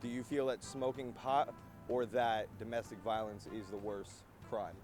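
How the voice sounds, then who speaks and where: Young man interviewing students, low voice. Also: little pitch movement, moderate pace. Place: outdoors on a college campus. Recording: music playing underneath.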